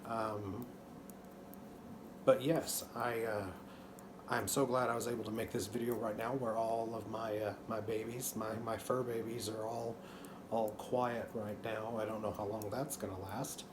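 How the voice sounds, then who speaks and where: A man in his thirties from Texas with a faint Texan accent and a slightly hoarse voice, chatting casually to camera at home while knitting, full of ums and restarts. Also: lowish voice, level tone, measured pace, noisy background. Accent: Texan, mostly masked. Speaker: knitting channel host. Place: living room couch at home.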